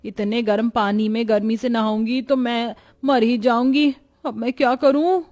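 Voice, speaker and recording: A woman's voice: a little high, steady tone, normal speed, a little mellow, lightly acted. A woman playing a naive, thrifty young village bride in a comic cartoon moral story. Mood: fretful.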